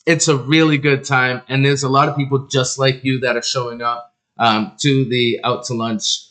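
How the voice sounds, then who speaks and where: A man's voice: mid-range voice, flat delivery, moderate pace, fairly bright tone. Guy hosting a chatty interview show, rambles and jokes, slangy, super effusive.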